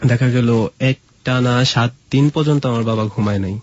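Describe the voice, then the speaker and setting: A man's voice: medium pitch, normal speed, a little mellow, no echo, highs cut off. A man from Mymensingh in Bangladesh, an interview guest telling personal stories in a conversational way. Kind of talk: personal storytelling in casual conversation.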